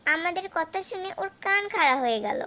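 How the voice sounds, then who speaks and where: A woman voicing a clever mother goat in a cartoon fable, glib and coaxing as she flatters and persuades. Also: very high voice, a little fast, exaggerated voice. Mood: glib, insistent. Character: clever mother goat, sly flatterer protecting her kid. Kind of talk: animated fable character acting.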